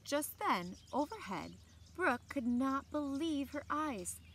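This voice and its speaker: Female story time reader, thirties. Slightly high voice, expressive, deliberate read-aloud, recorded outside with birds and background noise. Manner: measured, deliberate phrasing with dramatic emphasis. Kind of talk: expressive children's picture book read-aloud. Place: outdoors on a lawn by trees. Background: outdoor ambience, birds.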